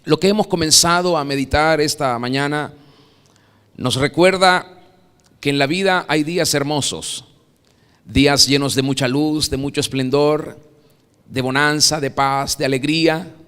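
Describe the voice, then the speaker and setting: A Venezuelan man delivers a sermon with a bright, ringing voice pitched a touch high. He surges to loud, dramatic peaks and then drops to near whispers. The church hall carries a long reverb. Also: unhurried, clean tone. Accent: Venezuelan Spanish. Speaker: pastor. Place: church sanctuary.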